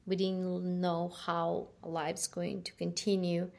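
A middle-aged woman from Ukraine with a Ukrainian accent, giving her personal testimony as a guest in a slow, halting, soft-spoken way. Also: on the low side, completely flat delivery, vocal fry.

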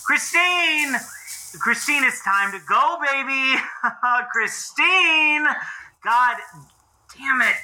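Young American boyfriend, agitated rambler, profane, lively pitch, edgy bright tone, slight noise, audio drama. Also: male voice, full character voice, poor audio. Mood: frantic searching. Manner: rambling, profane, self-questioning. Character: frantic, foul-mouthed young boyfriend searching for his girlfriend. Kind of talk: naturalistic found-footage audio drama acting.

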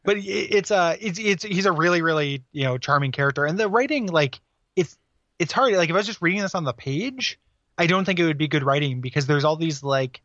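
A man's voice: fairly high pitch, lively intonation, a little fast, fairly bright tone, dry recording, dull audio. A man hosting a casual game podcast, rambling with lots of fillers and frequent laughs. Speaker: podcast host.

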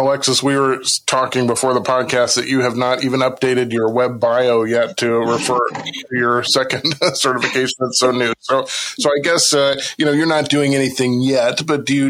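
A relaxed male host of a legal podcast, speaking at an average, middle pitch. He talks easily and pokes fun at himself with light chuckles, in a casual interview. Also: normal speed, few pauses, low-quality recording. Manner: easygoing, self-deprecating, chuckles. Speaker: podcast host, appellate lawyer.

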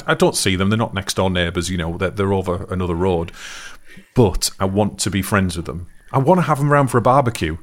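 A man's voice: low-pitched, a bit bright. A man talking things through in a casual chat, earnest and thoughtful, often restarting his sentences.

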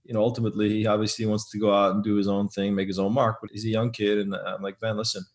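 A man being interviewed as a business owner, talking casually in a loud, emphatic way that often repeats words. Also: on the low side, little pitch movement, quick pace.

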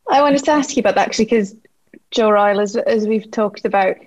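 A woman's voice: mid-range voice, quick pace, highs cut off. A woman interviewer asking loose, rambling questions. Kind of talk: conversational interview.

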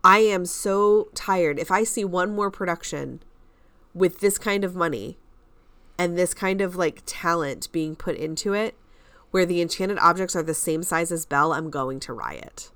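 Woman podcast cohost, kinda low voice, dry sound, casual but really emphatic with her opinions. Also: unhurried, fairly bright tone, smooth, no echo. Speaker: podcast co-host.

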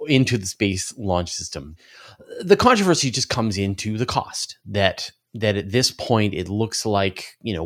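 A man giving explanatory answers as an interview guest on a podcast, halting as he thinks aloud. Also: sits low. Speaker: interview guest, space commentator.

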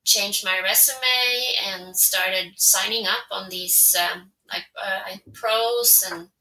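A Swedish woman in her forties with a Swedish accent, a translator whose native tongue is Swedish, answering interview questions thoughtfully and pausing to find her words. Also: mid-range voice, bright, forceful tone, a little hiss or hum. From Sweden. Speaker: guest, translator. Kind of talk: conversational interview. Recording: roomy echo.

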